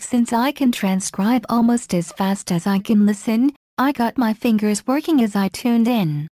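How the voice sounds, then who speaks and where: A woman reading a blog article aloud in a steady, even manner. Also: medium pitch, dry recording, clean studio sound.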